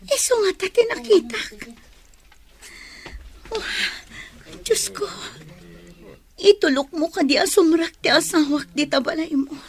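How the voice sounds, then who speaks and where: A woman's voice: very high voice, measured pace, long pauses, darkish tone. An actress playing a worried churchgoing wife and mother in an Ilocano radio drama, speaking fretfully. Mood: anxious searching.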